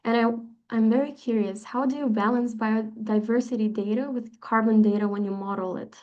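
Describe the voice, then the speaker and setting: Bright-voiced woman with a lo-fi sound. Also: fairly high pitch, steady tone, moderate pace. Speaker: audience member asking a question.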